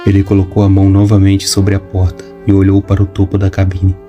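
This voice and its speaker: A man reading a storybook in a steady, scripted narration. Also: sits low, quick pace. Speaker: audiobook narrator. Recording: music playing behind. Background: music.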